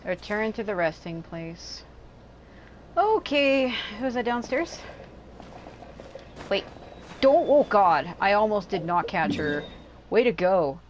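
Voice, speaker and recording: A woman's voice: medium pitch, expressive, slow, pauses often, slight background noise. A woman hosting a gaming channel, talking casually over her gameplay with rambling, joking asides. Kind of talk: casual let's-play commentary. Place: hot room at home.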